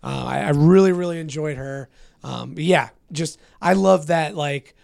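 Hoarse, gravelly male co-host, eager casual talker, dry close sound. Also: on the high side, normal speed, a little mellow, dry recording. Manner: enthusiastic, many fillers. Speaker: podcast co-host. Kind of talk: casual chat.

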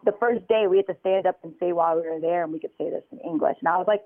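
A woman's voice: lowish voice, racing, no echo. An American woman telling her story as an interview guest over a phone call, chatty and full of fillers. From United States. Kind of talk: conversational interview. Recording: tinny phone line.

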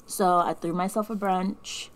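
A woman's voice: lowish voice, steady tone, a little slow. A woman in her late twenties co-hosting a podcast, telling stories in a dry, matter-of-fact casual way. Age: 20s. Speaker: podcast co-host. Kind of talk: casual chat.

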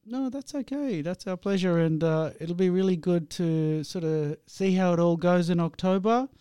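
A man's voice: high voice, moderate pace, mellow, clean tone, dry recording, clean studio sound. A man originally from India who hosts the interview, chatting in a friendly, rambling way with many fillers. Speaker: host.

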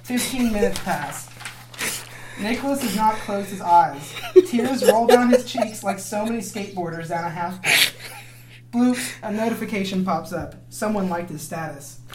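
A woman's voice: medium pitch, expressive, normal speed, background noise. A woman reading script stage directions aloud in an amateur dramatic reading, with mock-serious narration. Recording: roomy echo, others laughing behind.

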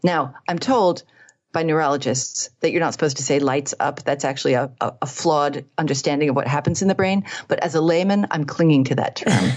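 A woman with a lowish voice talks as a guest author in an interview, her sound a little dull and band-limited. She speaks in an animated, conversational way with a self-deprecating touch. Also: a little fast. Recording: slightly dull, band-limited remote line.

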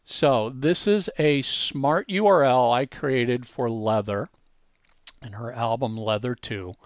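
A man's voice: mid-range voice, slow, no echo. Guy walking thru a music marketing tool on screen, casual, step by step, really dry sound no room. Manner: informal, explanatory, step by step. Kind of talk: casual screen-share tutorial.

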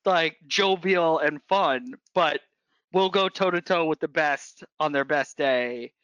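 A man hosting a casual podcast chat, friendly and quick in his runs of speech. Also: fairly high pitch, fairly bright tone, clear, resonant tone, no room sound, dull audio.

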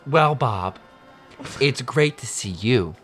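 A woman playing an evasive, over-polite school mother with halting speech in an improvised radio play. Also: extremely low pitch, lively intonation, soft background music, full character voice. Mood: forced cheer.

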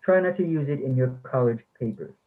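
A man's voice: mid-range voice, expressive, brisk, lightly acted. A man reading the part of a formal, defensive former English instructor in a play. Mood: instructive. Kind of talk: readers theatre play reading. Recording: thin, phone-like sound.

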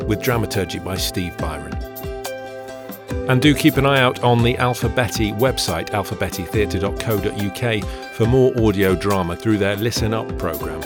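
A man reading scripted credits as an announcer. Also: on the low side.

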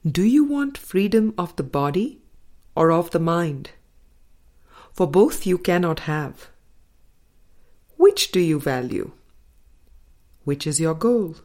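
A low-voiced woman reads a spiritual audiobook at an unhurried pace. Her phrasing is deliberate and measured, with frequent pauses between short phrases. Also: sing-song, mellow. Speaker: audiobook reader. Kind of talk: scripted spiritual audiobook narration.

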